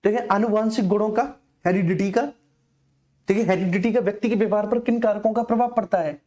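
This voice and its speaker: A man's voice: high-pitched, steady tone, a little fast, darkish tone. A man teaching an exam coaching class informally, explaining repetitively and addressing his students directly.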